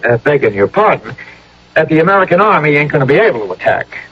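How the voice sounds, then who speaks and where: Husky, bumbling young soldier. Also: male voice, a little high, very animated, fast. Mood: earnest, hesitant. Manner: stammering, hesitant, deferential, ungrammatical. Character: nervous, bumbling, folksy young male American soldier. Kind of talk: radio drama acting. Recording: dull old radio broadcast recording.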